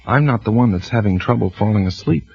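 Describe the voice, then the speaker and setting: An actor playing a suspicious husband who also narrates the tale in a measured, brooding voice, in a 1940s radio drama. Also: male voice, on the low side, creaky, a little mellow, breathy edge, dry recording. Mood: cool. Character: suspicious husband, grim first-person narrator. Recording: lo-fi old radio broadcast with music.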